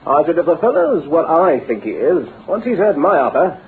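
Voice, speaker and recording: Brisk British male smooth talker, swooping highish delivery, flattering and persuasive, vintage radio play. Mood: indignant, goading. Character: brisk, flattering, smooth-talking businessman recruiting a clerk. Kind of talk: old-time radio drama acting. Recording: tinny band-limited old radio recording, hissy.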